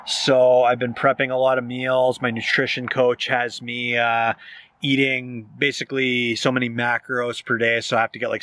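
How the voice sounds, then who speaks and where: Male vlogger, thirties, medium pitch, informal rambling chat, dry open-air sound. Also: sharp tone, no echo. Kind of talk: casual outdoor vlog chat. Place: outdoors beside and inside a parked truck. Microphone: handheld camera at arm's length.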